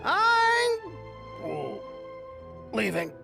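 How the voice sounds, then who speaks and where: A man's voice: very high-pitched, huge pitch swings, creaky, full character voice. An actor playing a tipsy, rueful, nervous man who slurs, halts and trails off in a video game. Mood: uneasy warning. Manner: halting, mumbling, trailing off. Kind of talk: English video game voice acting. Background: background music.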